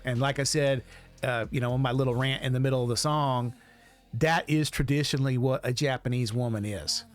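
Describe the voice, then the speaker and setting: A man's voice: mid-range voice, steady tone, brisk. A man giving casual reaction commentary, hesitant and rambling as he thinks aloud. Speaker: music video reaction host.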